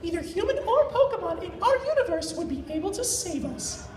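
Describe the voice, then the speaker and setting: Woman playing very high-voiced Mew, echoey room. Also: animated, a little fast, darkish tone, distant mic, slight background noise. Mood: solemn explaining. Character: solemn female Mew, small creature explaining planetary doom. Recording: echoey convention room with crowd, off-mic.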